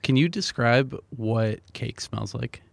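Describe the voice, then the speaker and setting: A man's voice: mid-range voice, takes it slowly, vocal fry, no echo. An American man interviewing in a conversational way, with hesitant, roundabout phrasing. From New Hampshire, USA. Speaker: podcast interviewer.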